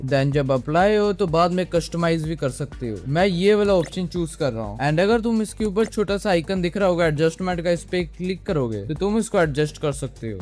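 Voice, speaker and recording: A man's voice: sits high, a little mellow. An Indian man narrating a step-by-step tutorial in an informal way, mixing English words into his speech. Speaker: video editing tutorial presenter.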